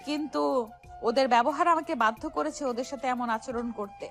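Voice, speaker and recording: Young heroine played by a woman for romantic audio drama: high-pitched, emphatic, wounded but firm, over soft music. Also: brisk. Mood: reflective. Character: wronged young woman, stern yet deeply hurt daughter. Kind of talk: Bengali audio story drama acting. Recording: music behind the voice.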